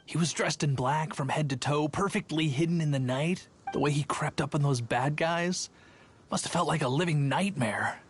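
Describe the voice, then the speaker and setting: A man's voice: a little high, moderate pace, soft background music, natural voice. Man playing a chatty, sheepish city guard. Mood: storytelling. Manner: chatty and boastful, a bit sheepish. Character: young male city guard, chatty, cowardly. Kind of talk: video game voice acting.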